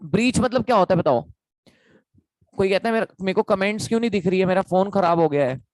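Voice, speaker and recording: Hoarse young Indian man, chatty tutor. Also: sits high, fast, darkish tone, no room sound, low-quality recording. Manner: chatty, repetitive, calls students beta. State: hoarse, sore throat. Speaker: online law tutor. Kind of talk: live online lecture.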